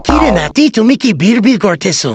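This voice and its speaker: A woman's voice: a little high, big pitch swings, a little fast. Woman putting on a plaintive old cartoon grandpa, swooping melodically. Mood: grumbling. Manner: plaintive, complaining, indignant. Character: wounded, whiny old grandfather, devoted henpecked husband. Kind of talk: comic cartoon skit. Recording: noisy amateur recording with clicks.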